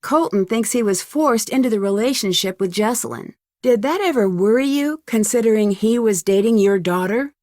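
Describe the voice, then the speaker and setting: A young American woman voicing an earnest, curious and polite amateur detective in an adventure game, with clearly enunciated lines. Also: a little high, animated, normal speed, few pauses, a little mellow, dry recording, clean studio sound, natural voice. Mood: probing. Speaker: voice actor for a game's detective heroine. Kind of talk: scripted adventure game dialogue.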